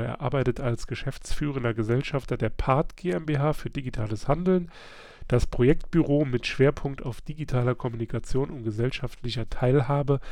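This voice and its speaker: A man with a gravelly edge hosts a relaxed book club podcast while nursing a slight cold. His voice is dry and close, with nothing around it. He chats loosely, laughs often and fills pauses with little hesitation sounds. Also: medium pitch, dry recording. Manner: chatty, laughs often, many filler sounds. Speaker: podcast host.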